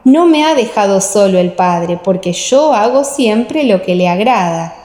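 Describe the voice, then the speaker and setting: Woman voicing slow, solemn Jesus in reverb. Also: mid-range voice, expressive, darkish tone, close to an everyday voice. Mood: solemn, authoritative. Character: Jesus, solemn authoritative male teacher. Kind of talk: audiobook radio-play dialogue. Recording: echoey, long reverb.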